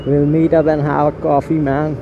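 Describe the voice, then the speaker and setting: A man's voice: a little high, level tone, moderate pace. A young man, a motovlogger chatting casually and rambling while riding a motorcycle through city streets. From India. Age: young adult. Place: on a motorcycle on city roads outdoors. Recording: muffled helmet mic with traffic noise.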